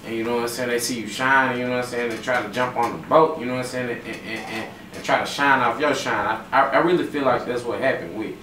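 A man's voice: mid-range voice, steady tone, quick pace, hardly any pauses, pushed and bright, recorded from a distance. A young man with a Southern US accent, a rapper guest chatting on a small radio show, laid-back and full of slang. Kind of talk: casual radio interview. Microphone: studio mic on boom, recorded from across the room. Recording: echoey room, voice off-mic, noisy.

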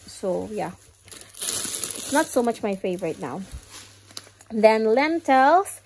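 A woman from the Philippines with a Filipino accent, chatting casually to viewers in her kitchen and often trailing off softly. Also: fairly high pitch, big pitch swings, slow pace, a little hiss or hum. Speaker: vlogger. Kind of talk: casual grocery haul chat. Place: home kitchen, indoors.

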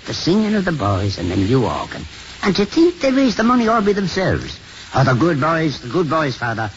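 An actor playing a sentimental, fretful but kindly elderly priest, halting and repeating himself in 1950s radio drama style. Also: male voice, on the high side, huge pitch swings. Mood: tender, happy. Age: older. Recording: muffled, noisy 1950s radio recording.

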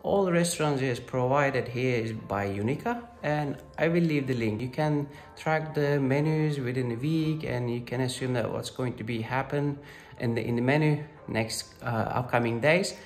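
A man's voice: medium pitch, moderate pace. A man in his thirties, a student ambassador, giving a cheerful vlog tour while filming himself on a phone at arm's length. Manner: upbeat, non-native phrasing. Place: indoors and outdoors on campus, selfie filming. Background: music.

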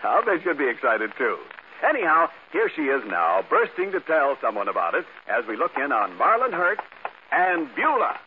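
A man's voice: fairly high pitch, huge pitch swings, moderate pace. A man announcing and reading sponsor copy in polished 1940s radio style. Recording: muffled old radio, faint noise.

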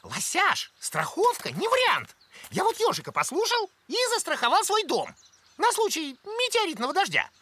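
A woman voicing an excitable, naive young male cartoon rabbit with a boyish, exclaiming manner. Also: very high voice, moderate pace, a little hiss or hum, heavily acted. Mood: fretful, excited. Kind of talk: animated cartoon voice acting.

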